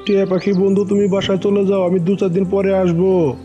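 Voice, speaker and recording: A man's voice: high-pitched, little pitch movement, a little fast, mellow, close to an everyday voice. A man voicing a greedy, self-satisfied newlywed crow who coaxes and schemes in a cartoon fable. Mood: greedy, pleased. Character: greedy newlywed crow, male, pleased and sly. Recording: muffled lo-fi audio with faint music.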